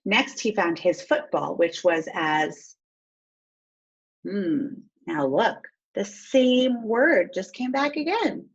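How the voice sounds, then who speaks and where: A woman teacher reading a storybook aloud and pausing to explain things step by step in an instructive way. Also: medium pitch, animated, slightly rough, highs cut off.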